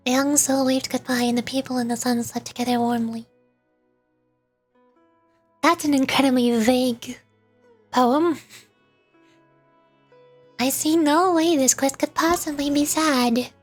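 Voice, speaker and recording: Female VTuber, high husky voice, casual livestream chatter, trips over words reading aloud, faint game music. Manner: rambling, stumbles over words while reading. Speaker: streamer, VTuber.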